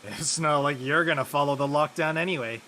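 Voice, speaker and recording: A man playing an awkward, earnest teenage high school boy in an audio play, with a dry, slightly flustered manner. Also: brisk, sharp tone, slight background noise. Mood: dry teasing. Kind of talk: conversational acting in an online audio play.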